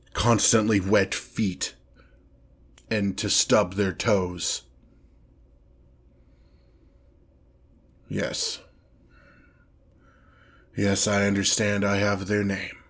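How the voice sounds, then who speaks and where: A man voicing a haughty, exasperated demon lord in a fantasy audio roleplay, speaking formally and pompously. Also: lowish voice. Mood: grudging. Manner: pompous, formal, slow deliberate phrasing with irritated sighs. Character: haughty, exasperated male demon lord, grandiose but petty. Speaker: character performer in roleplay audio. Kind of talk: scripted ASMR fantasy roleplay, one-sided dialogue.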